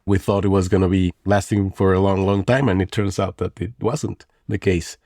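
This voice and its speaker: A man's voice: deep voice, fairly even intonation, moderate pace, mellow, dry recording, clean studio sound. A man in his forties from Mexico, a podcast guest who speaks thoughtfully with frequent restarts and fillers. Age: 40s. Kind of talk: conversational interview.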